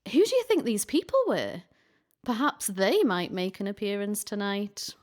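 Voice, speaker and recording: A woman's voice: medium pitch, sing-song, normal speed, a little mellow, lightly acted. A woman playing a curious young woman on a ghost hunt in a radio play, inquisitive and easily spooked. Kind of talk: community radio play acting.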